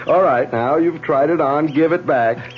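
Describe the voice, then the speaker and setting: An actor playing an affable, earnest young brain surgeon in a radio mystery drama, with a hearty, expressive manner. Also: male voice, a little high, a little hiss or hum. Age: young adult. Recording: dull lo-fi vintage radio broadcast.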